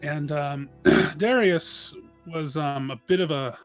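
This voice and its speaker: A man introducing his tabletop game character, halting and searching for his words. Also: on the high side, soft background music.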